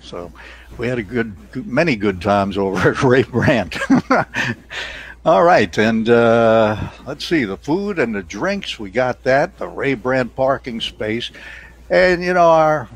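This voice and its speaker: A man's voice: medium pitch, lively intonation, slow pace. Older American man, chuckly rambling host. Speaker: radio show host. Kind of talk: casual reminiscing chat. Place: indoor home studio on a video call. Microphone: headset mic. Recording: noisy home video-call audio.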